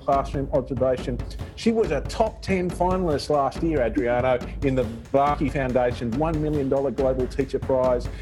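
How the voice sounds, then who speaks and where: A man hosts an education podcast and introduces his guest in an upbeat way. His manner is playful and joking. Clear music plays under his voice. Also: medium pitch. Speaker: podcast host. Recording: music behind.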